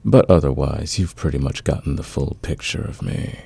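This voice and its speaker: A man playing a smitten, dominant fantasy villain in a romantic ASMR roleplay, speaking in an intimate, teasing, confident way with a gravelly, husky voice. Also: rumbling low, moderate pace, mellow, full character voice. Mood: confessional, seductive. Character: smitten male fantasy villain, patient, dominant, smoothly seductive.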